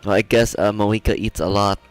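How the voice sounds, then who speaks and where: A man's voice: lowish voice, steady tone, brisk. A man streaming a game, casually reacting with amusement and reading dialogue aloud. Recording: faint game music behind.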